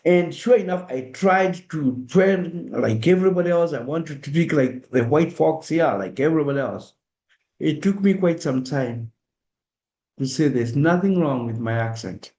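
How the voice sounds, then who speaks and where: A middle-aged man with a Kenyan accent talks earnestly and directly to a community gathering, slipping into first-person examples. His voice is mellow and sits a little high. The sound carries the echo of a roomy hall. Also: animated, moderate pace. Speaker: speaker at a diaspora awareness event. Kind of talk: public talk. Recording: echoey room.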